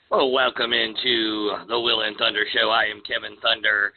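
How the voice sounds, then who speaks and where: A man's voice: lowish voice, level tone, normal speed, a little hiss or hum. A man in his forties hosting a casual radio talk show, stammering and poking fun at himself. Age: 40s. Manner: stammering, self-mocking. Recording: muffled phone-like internet radio.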